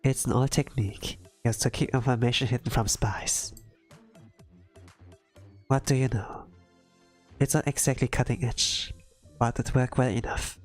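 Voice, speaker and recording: Young female game researcher, voiced by a readalong streamer: thoughtful, quietly determined, medium pitch, musing delivery, music underneath. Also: steady tone, unhurried, pauses often, natural voice. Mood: quietly pleased.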